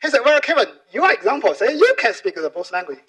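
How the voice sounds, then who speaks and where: A man's voice: very high-pitched, very animated, quick pace, fairly bright tone. A middle-aged man, a native Chinese speaker with a Chinese accent, giving an informal, explanatory conference talk in non-native English, often checking in with short tag questions.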